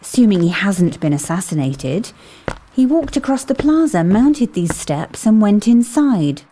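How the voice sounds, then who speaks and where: Woman acting calm mysterious lady investigator, soft-edged mellow voice, lots of up n down, thoughtful, audio drama. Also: mid-range voice, a little hiss or hum. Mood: thoughtful, curious. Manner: measured, thoughtful, questioning. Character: calm, perceptive, mysterious female otherworldly investigator.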